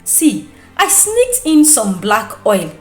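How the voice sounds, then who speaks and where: A woman's voice: a little high, huge pitch swings, slow, echoey room, soft background music, full character voice. A woman with a Nigerian accent voicing a scheming, nosy young female friend in a gossipy, theatrical, goading manner.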